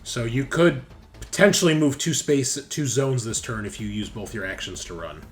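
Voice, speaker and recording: A man's voice: medium pitch, moderate pace, faint music behind. A man working as game master in a tabletop roleplaying game, casually explaining rules and narrating the action step by step in an informal way.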